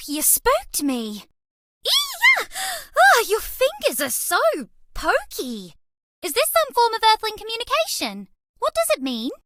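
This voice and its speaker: Very squeaky, bubbly alien mouse girl. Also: sharp tone, dry recording, clean studio sound, full character voice. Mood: startled, giggly. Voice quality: squeaky, girlish.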